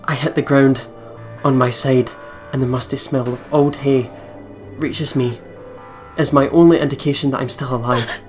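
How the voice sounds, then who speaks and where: A man's voice: medium pitch, steady tone, moderate pace, darkish tone, faint music behind. A man hosting a let's play, reading visual novel narration aloud in a dramatic storytelling manner.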